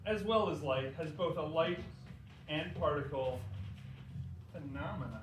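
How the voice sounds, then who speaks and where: A man giving a theatrical dramatic reading of textbook prose, mock-dramatic and halting, with deliberate pauses. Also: fairly high pitch. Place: indoor theater, live performance. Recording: noisy, echoey theater, recorded from far off.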